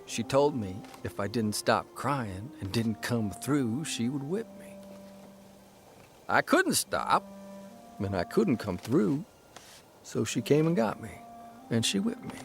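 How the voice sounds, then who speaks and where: A man's voice: mid-range voice, big pitch swings, slow, vocal fry, pauses often, a little hiss or hum, heavily acted. An actor playing a grown man who tells, in a reflective, storytelling way, of his strict mother in a radio drama. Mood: wistful. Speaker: narrator and lead character.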